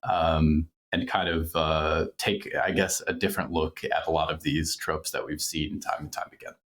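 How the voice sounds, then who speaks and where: A man's voice: extremely low pitch, steady tone, normal speed, a bit bright. A man speaking reflectively as an interview guest, articulate and thoughtful, often qualifying what he says. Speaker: podcast creator and writer, interview guest.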